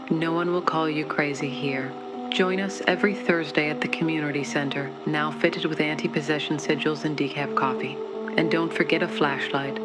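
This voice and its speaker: A woman's voice: deep voice, natural voice. A woman playing an earnest, reassuring support-group spokesperson in a parody commercial voice-over.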